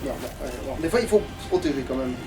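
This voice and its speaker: A man's voice: mid-range voice, expressive, quick pace, over music. A man playing a video game and thinking aloud in casual, sweary commentary. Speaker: gamer playing on a let's play. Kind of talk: casual let's play commentary. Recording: distant mic with faint noise.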